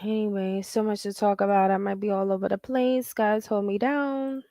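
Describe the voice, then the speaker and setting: A woman's voice: medium pitch, fairly even intonation, moderate pace, mellow, dry recording, clean studio sound. Profane, rambling woman in her forties. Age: 40s. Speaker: livestream host. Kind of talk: casual livestream chat, reading viewer comments.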